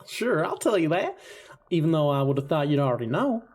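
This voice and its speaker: A man's voice: fairly high pitch, very animated, brisk, a little mellow. A man playing a mocking, gloating killer out for revenge in an amateur audio drama. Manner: gloating, mocking, chuckling delivery. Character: taunting, vengeful male killer avenging his brother.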